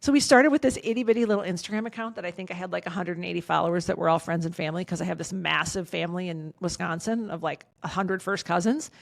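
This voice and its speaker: A woman in her fifties, a podcast guest, talking candidly in an outspoken, emphatic way with a loud voice, swearing freely. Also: fairly low pitch, quick pace, creaky, few pauses, no room sound. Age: 50s. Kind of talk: candid casual conversation.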